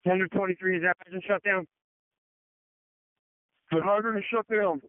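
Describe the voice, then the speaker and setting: A man's voice: sits high, fairly even intonation, moderate pace, smooth, no room sound. A man working as a fire division officer, reporting in emergency radio traffic over a two-way radio. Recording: tinny narrowband fire radio transmission.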